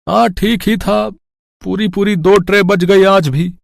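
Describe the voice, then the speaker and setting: A man voicing a gentle, hopeful village husband and father in a cartoon moral story. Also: sits high, fairly even intonation, a little slow, creaky, no room sound, studio quality. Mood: tired, resigned.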